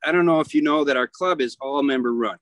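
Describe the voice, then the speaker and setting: A middle-aged man, a yacht club commodore talking casually on a video call, often pausing and restarting as he searches for words. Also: fairly high pitch, no room sound. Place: outdoors at a marina.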